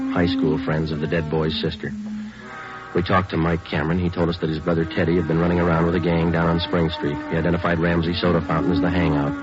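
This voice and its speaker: An actor playing a stoic, businesslike police sergeant in a 1950s radio crime drama, clipped and matter-of-fact. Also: male voice, low-pitched, natural voice. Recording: dull old radio recording.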